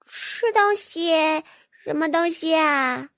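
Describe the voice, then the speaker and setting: A woman's voice: very high voice, lively intonation, slow, fairly bright tone, no echo, pitched above the performer's own voice, full character voice. A woman voicing a tiny, chubby, innocent baby creature with naive, eager, childlike speech in an audiobook. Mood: curious. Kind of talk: audiobook character voice.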